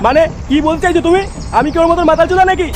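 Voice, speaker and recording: A man voicing an irascible, foul-mouthed parent in a comedy cartoon, scolding and bullying in a pitched-up voice. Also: racing. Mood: angry scolding. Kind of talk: exaggerated comedy cartoon acting. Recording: noisy track with street and vehicle ambience.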